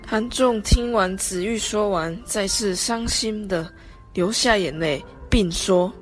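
A woman's voice: mid-range voice, slow pace. A woman with a Taiwanese Mandarin accent reading scripted narration for an amateur radio drama. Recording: background music under the voice.

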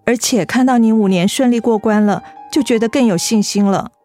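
A woman's voice: a little high, brisk, faint music behind, close to an everyday voice. An actress playing a sweet young female office worker, polite and a little guarded, in a radio drama. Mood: quietly sincere. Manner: polite, soft-spoken, evasive.

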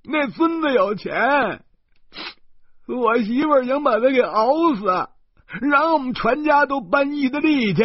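A man voicing a weepy, aggrieved younger brother who wails in a high, sobbing voice. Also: dry recording, dull audio, exaggerated voice. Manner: wailing, high-pitched, sobbing.

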